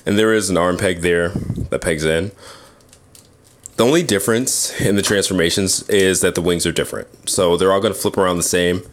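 Male reviewer, low voice, informal hands-on demonstration, some background noise. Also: brisk. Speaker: toy reviewer. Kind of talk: casual hands-on product demonstration. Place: indoors at a tabletop display. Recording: clear background noise, handling clicks.

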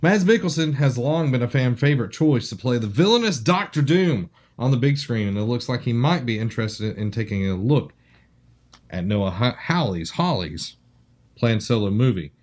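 A bearded man in his thirties co-hosting a casual podcast, reading entertainment news aloud with a steady, jokey delivery. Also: mid-range voice, moderate pace. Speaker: podcast co-host. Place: small studio with city-skyline backdrop.

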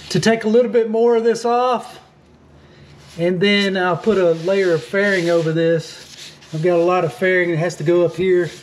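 Man, high voice, casual DIY narrator with lots of fillers, faint outdoor hiss behind, recorded on a first-person camera. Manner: relaxed, plainspoken, frequent "uh" fillers and restarts. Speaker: boat refit vlogger. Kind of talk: casual how-to narration while working. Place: outdoors on a sailboat deck.

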